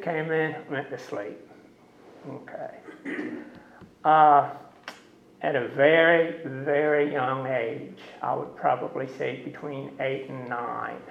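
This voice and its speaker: Loud, gravelly man telling his story. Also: a little high, a little hiss or hum. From Norfolk, USA. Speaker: guest speaker at a recovery meeting. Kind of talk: personal testimony to a group. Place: meeting room. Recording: echoey, dull meeting-room recording.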